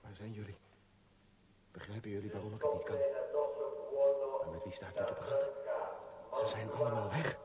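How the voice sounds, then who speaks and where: A man's voice: fairly low pitch, brisk, long pauses, crowd noise, natural voice, low-quality recording. An actor playing a lost, restless man seeking escape in a radio play. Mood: bewildered, subdued. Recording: hissy old radio recording.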